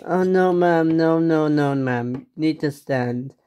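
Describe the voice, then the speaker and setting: A performer playing a firm, polite karate instructor, unhurried. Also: mid-range voice, dark tone, a little hiss or hum, lightly acted. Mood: insistent. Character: insistent, chipper karate instructor. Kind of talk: acted pretend-play story.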